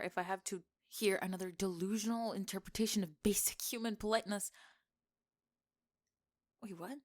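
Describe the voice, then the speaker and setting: A young woman playing a teasing, sarcastic tomboy gamer friend in an acted ASMR roleplay, with expressive sighs and laughter. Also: mid-range voice, natural voice. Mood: uneasy, exasperated. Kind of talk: acted ASMR roleplay, one-sided conversation.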